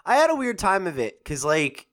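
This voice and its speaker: A man's voice: high-pitched, sing-song, normal speed, fairly bright tone. A man in his twenties co-hosting a gaming podcast, chatting casually and rambling with lots of fillers and restarts. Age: 20s. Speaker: podcast co-host. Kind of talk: casual chat.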